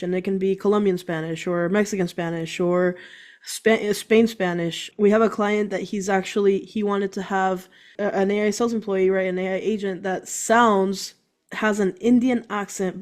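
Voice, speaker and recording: Quick, enthusiastic woman explaining to a host. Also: mid-range voice, fairly even intonation, darkish tone. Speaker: guest entrepreneur. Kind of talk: casual interview chat.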